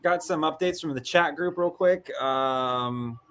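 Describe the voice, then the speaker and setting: Easygoing 30s guy, slightly high voice, reading out chat on stream. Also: a little slow, fairly bright tone. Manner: easygoing, wraps up and reads chat aloud. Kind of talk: casual livestream chat.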